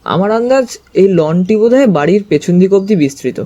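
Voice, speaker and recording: A man reading a first-person scripted story as its narrator, with expressive storytelling delivery. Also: high voice, a little fast. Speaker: narrator and detective's companion.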